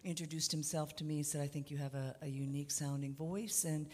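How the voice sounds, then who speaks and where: A woman from Canada, a country singer, answering interview questions on a radio show in a low voice, with many fillers and restarts. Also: steady tone, fast talker, darkish tone. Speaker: guest musician.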